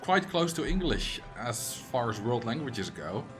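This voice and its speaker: A man's voice: mid-range voice, big pitch swings, a little slow, over music. A man with a Dutch accent, a streamer chatting casually and answering chat messages while he plays a game. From Netherlands.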